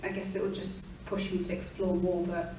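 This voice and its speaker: A woman's voice: on the low side, level tone, moderate pace, noisy background. A young woman, musing in an interview and hedging her words, in an indoor room. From London, England. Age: young adult. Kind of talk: reflective interview answers. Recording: echoey room, mic far off.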